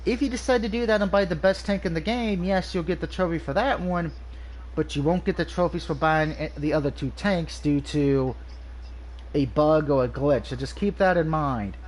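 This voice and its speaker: A man's voice: a little high, normal speed, a little hiss or hum. Nasal man reviewing a video game. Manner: rambling, frequent ums and restarts. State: nasal from allergies. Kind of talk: casual game review over gameplay.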